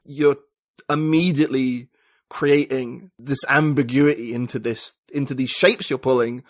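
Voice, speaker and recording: A man's voice: mid-range voice, fairly even intonation, a little slow, dry recording. A man, a podcast guest talking reflectively and searching for his words in casual conversation.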